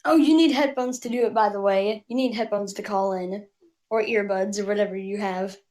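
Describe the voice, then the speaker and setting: A woman's voice: medium pitch, steady tone, a little fast, darkish tone. A woman giving a hesitant, casual explanation as a guest.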